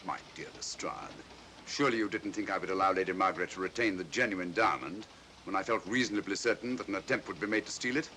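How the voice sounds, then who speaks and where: A bright, forceful English gentleman detective, talking briskly with assured, probing crispness. It is in the manner of a 1940s mystery film, on a slightly noisy old soundtrack. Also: low-pitched. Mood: coolly assured. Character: sharp, self-assured male English detective, coolly probing.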